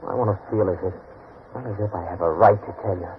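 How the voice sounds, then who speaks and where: An actor playing an earnest, insecure man in love, halting and pleading, in 1940s radio drama style. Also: on the low side, close to an everyday voice. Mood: ardent, pleading. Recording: noisy, tinny 1940s radio recording.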